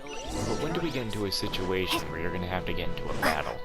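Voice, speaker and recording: Gamer host, male, deep register, loose halting off-the-cuff commentary, game music behind. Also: sing-song. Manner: rambling, thinking aloud, halting. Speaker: gaming video host. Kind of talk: casual playthrough commentary.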